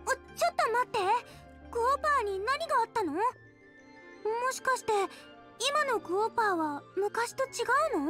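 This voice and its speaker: A woman's voice: extremely high pitch, very animated, moderate pace, music in the background. A woman playing an eager, curious teenage girl in an anime-style Japanese video game. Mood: surprised, concerned. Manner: girlish, eager, questioning. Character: bright, curious teenage girl, worried about her companion.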